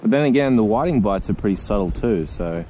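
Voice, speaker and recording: A man's voice: on the low side, a little fast, slight background noise. A man chatting and teasing his friend while fishing from a kayak out on the water. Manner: relaxed, teasing. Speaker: fishing vlogger. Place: kayak on open water, outdoors.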